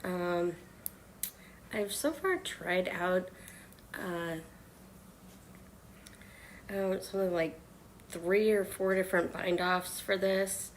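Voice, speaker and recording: A hoarse woman chatting softly on a live stream while she knits, with many pauses and fillers. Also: on the low side, slight background noise. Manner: halting, many ums and uhs. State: hoarse from illness. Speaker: knitting livestreamer. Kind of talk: soft-spoken live stream chat.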